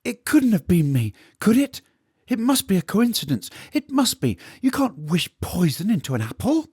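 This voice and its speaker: A man's voice: high-pitched, big pitch swings, normal speed, darkish tone, breathy edge, no echo, studio quality, voice pushed up, heavily acted. A man doing a brooding young servant girl. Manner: tense, whispered inner thoughts. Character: young kitchen servant woman, vengeful, anxious.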